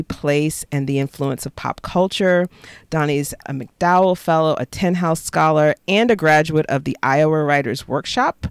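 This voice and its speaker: A woman's voice: sits low, smooth, no room sound. A middle-aged woman from Florida with an American accent, co-hosting a conversational podcast. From Jacksonville, Florida, United States. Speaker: podcast co-host, author.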